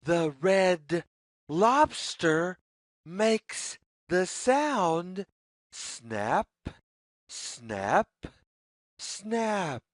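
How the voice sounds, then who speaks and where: A woman reading a children's story aloud in a deliberate, singsong way, as if inviting young listeners to follow along. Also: lowish voice, big pitch swings, no echo, clean studio sound. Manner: deliberate, singsong, repetitive phrasing.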